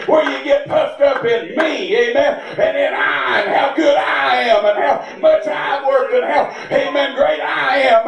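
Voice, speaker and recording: A hoarse middle-aged man preaching a sermon as pastor, filling every pause with "uh" and "amen.". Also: extremely high pitch, recorded from a distance. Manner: preaching in bursts with constant "uh" and "amen" fillers. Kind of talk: shouted sermon. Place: church sanctuary. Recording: echoey church hall from afar. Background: congregation.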